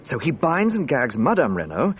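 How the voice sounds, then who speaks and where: A man's voice: mid-range voice, huge pitch swings, moderate pace, breathy edge. An actor playing a detective's puzzled, impatient male friend in a radio drama, questioning in an incredulous way. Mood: working it out.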